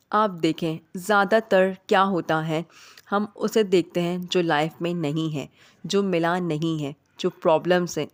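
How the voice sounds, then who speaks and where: A woman narrates a motivational vlog in a slightly low voice. It sounds heavy and hoarse, as with a sore throat. She talks earnestly and directly to her listeners. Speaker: vlog host.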